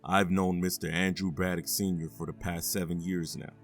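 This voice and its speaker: An actor playing a male police chief who gives measured, formal testimony as a character witness in an audio drama. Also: low voice, level tone, faint music behind. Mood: earnest.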